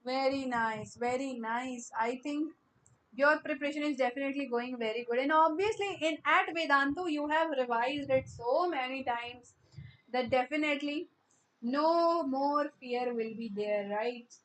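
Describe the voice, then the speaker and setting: A young woman with an Indian English accent teaching maths in a live online class, loud and chatty, reading out students' messages and slipping into Hindi. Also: high voice, unhurried, a little hiss or hum, poor audio.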